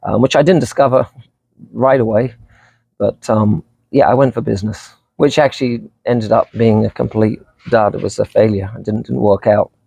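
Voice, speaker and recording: A man's voice: lowish voice, fairly even intonation, normal speed, creaky, mellow, slightly rough, dry recording, rough recording. A middle-aged man from England with a British accent tinged slightly with American, chatting casually and unscripted as he is interviewed. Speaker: vlogger being interviewed.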